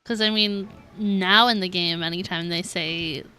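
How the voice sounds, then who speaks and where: A woman's voice: fairly low pitch, fairly even intonation, unhurried, pushed and bright, clean tone, faint music behind. A woman game streamer giving casual, reactive live commentary as she plays. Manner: giggly, reactive.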